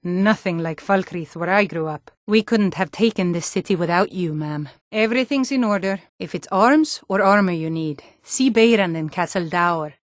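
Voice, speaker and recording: A woman voicing a sturdy, plain-spoken Nord townswoman in a fantasy game, speaking scripted lines in a measured way. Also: on the low side, no room sound, clean studio sound, natural voice. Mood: matter-of-fact.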